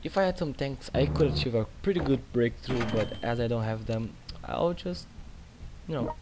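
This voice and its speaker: A man's voice: medium pitch, animated, normal speed, heavy background noise. A young man with a Brazilian Portuguese accent, rambling casually through commentary on his own strategy game, with many fillers and restarts. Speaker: gaming channel host. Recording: loud game music and battle sounds behind.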